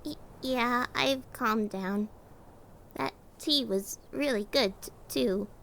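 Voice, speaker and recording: A woman's voice: fairly high pitch, takes it slowly, vocal fry, fairly bright tone, a little hiss or hum, heavily acted. A woman voicing an anxious, timid monster scientist in an audio drama, apologetic and stammering heavily. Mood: hesitant. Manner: nervous, heavy stammer.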